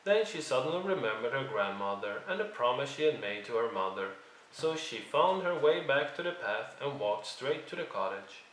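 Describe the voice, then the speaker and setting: A man narrating a children's read-along story in an expressive storytelling style. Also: medium pitch, moderate pace, a little hiss or hum. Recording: roomy, distant microphone.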